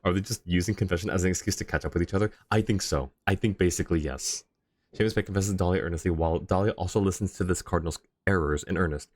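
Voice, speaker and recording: Deep-voiced man casually chatting on a livestream. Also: fast talker, no room sound. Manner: friendly, chatty, informal. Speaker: video game livestreamer. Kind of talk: casual chat and live commentary. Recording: game music behind the voice.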